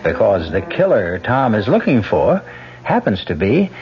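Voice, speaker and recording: A man's voice: fairly low pitch, sing-song, unhurried. Suspenseful male radio narrator. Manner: suspenseful storytelling. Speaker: episode narrator. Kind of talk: scripted dramatic narration. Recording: dull lo-fi radio recording with music behind.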